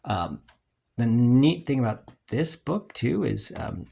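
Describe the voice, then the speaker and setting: A collector giving a casual, unscripted review in a hesitant, rambling way, often trailing off and clearing the throat, with a husky, creaky voice. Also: mid-range voice, sing-song, takes it slowly, mellow. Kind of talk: casual unscripted show-and-tell.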